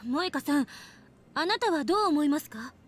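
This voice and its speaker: High, quick, prickly young scientist. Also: female voice, animated. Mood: questioning. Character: sharp-tongued, prickly clever young woman scientist. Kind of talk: anime visual novel voice acting.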